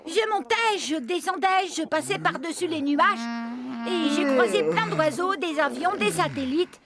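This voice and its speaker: Tiny young cartoon creature, very high, childlike, excitable, exaggerated, loud music behind. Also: female voice, unhurried, full character voice. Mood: boastful, excited. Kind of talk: French children's cartoon dubbing.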